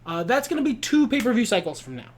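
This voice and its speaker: A man's voice: high-pitched, very animated, fast. A man co-hosting a casual chat while playing a wrestling booking game, explaining plans step by step.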